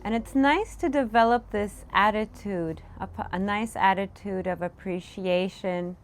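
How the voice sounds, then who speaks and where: A woman meditation teacher leading a guided meditation, giving gentle, unscripted instructions with pauses. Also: medium pitch. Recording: clear background noise, room ambience. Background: room ambience, children in the background.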